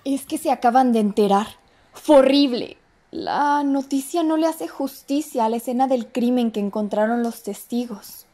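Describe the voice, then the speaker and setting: A woman's voice: fairly high pitch, moderate pace, dark tone, close to an everyday voice. A woman voicing a nervous young café waitress who tells of a crime in a dramatic, breathless way. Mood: upset. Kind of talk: amateur radio drama acting.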